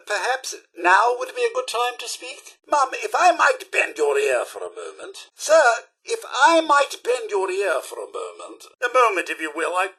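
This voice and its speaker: A man's voice: sits high, sharp tone, husky, exaggerated voice. A man voicing a fussy, devoted robot butler in a video game, with a British accent and a prim, courteous, theatrical delivery. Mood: politely insistent. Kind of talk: scripted video game companion dialogue.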